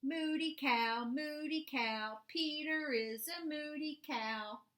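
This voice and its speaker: A bratty young girl cow done by a woman narrator taunts in sing-song fashion. The voice is high and drawn out, in storybook style. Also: takes it slowly, bright, forceful tone, heavily acted. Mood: mocking. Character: bratty little sister cow taunting her brother.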